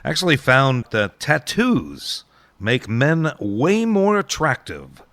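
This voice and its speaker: Lively, gravelly-voiced man hosting oldies radio. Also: mid-range voice, takes it slowly, heavy background noise. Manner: chatty, nostalgic asides. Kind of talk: casual radio music show presenting between songs. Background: oldies music.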